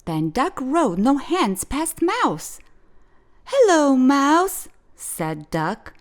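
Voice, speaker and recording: Female children's storytime reader. Expressive, unhurried delivery with a lively, swooping melody. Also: fairly high pitch. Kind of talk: expressive picture book read-aloud.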